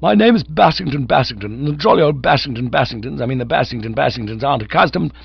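A man voicing a silly, excitable young upper-class English gentleman in a storybook reading, plummy and wordy. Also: mid-range voice, quick pace, gravelly, slightly rough, no echo, highs cut off, voice pushed up. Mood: indignant. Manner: plummy, indignant or breezy, wordy.

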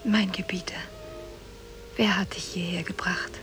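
A woman's voice: lowish voice, steady tone, measured pace, fairly bright tone, soft background music. A woman playing a beautiful, tender and cunning captive wife in a 1950s German radio play. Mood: tender, breathy. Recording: old radio tape, faint noise.

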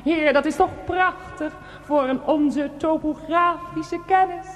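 A pious churchgoer in a satirical radio play. Her extremely high voice declaims thanks slowly and ceremoniously, with long reverb around it. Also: soft background music. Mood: solemn gratitude. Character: pious, solemnly grateful churchgoer at public prayer.

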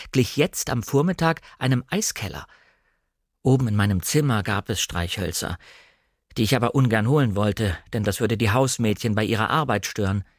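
A male audiobook narrator with a mid-pitched voice reads literary first-person prose. He is composed and careful, and the sound is dry and close. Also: no room sound.

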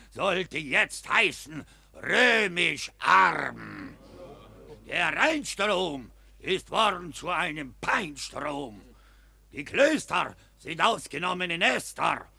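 An actor playing a zealous, ranting army preacher who scolds soldiers in a radio drama. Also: male voice, sits high, vocal fry, pushed and bright, husky, heavily acted. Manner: thundering, scolding sermon.

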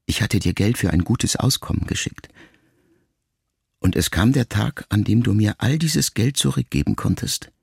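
An actor playing a pensive, melancholy grown son in a radio drama monologue, speaking to his mother in a gravelly, measured, intimate way. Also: fairly low pitch, animated, darkish tone, dry recording, clean studio sound, heavily acted. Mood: reflective, melancholy. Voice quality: gravelly, creaky.

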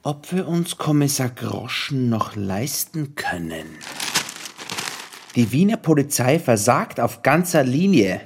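An actor playing a pompous middle-aged police superior with a husky voice, talking with theatrical, scornful condescension in a radio crime drama. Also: male voice, medium pitch, a little hiss or hum.

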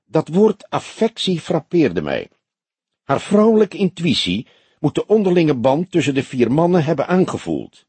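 A man voicing a shrewd, teasing old police detective in an audiobook, with a probing, deliberate way of talking. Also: fairly high pitch, sing-song, a little mellow, breathy edge, no echo, pitched above the performer's own voice, exaggerated voice. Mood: shrewd, measured.

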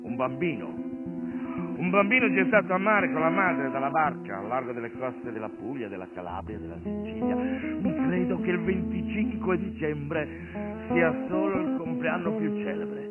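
A man performing a theatrical stage monologue, moving between wry, offhand asides and solemn declamation. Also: a little high. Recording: thin phone-like sound with loud music. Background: live guitar music.

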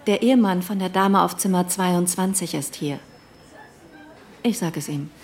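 A woman's voice: fairly low pitch, moderate pace, a little mellow, close to an everyday voice. A woman acting in a radio play.

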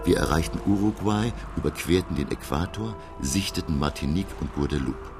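An actor playing a learned professor who gravely narrates his undersea adventure in a radio play, measured and dramatic. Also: low voice. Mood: grave, tense. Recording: music under the voice.

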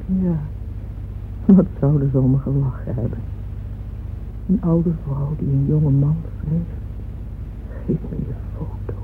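A woman's voice: low-pitched, a little slow, pauses often. On a thin, phone-like old radio recording with faint noise, a wealthy middle-aged woman confiding her story. She is proud, fervent and yearning, speaking in intimate dramatic monologue. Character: wealthy older widowed mother, passionate, proud, yearning.

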